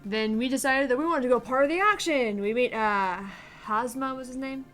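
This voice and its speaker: Bright, cursing woman co-host. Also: high voice, moderate pace, soft background music. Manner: profane, laughing, recaps the story loosely. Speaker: co-host of a gaming stream. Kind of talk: casual banter while playing a game.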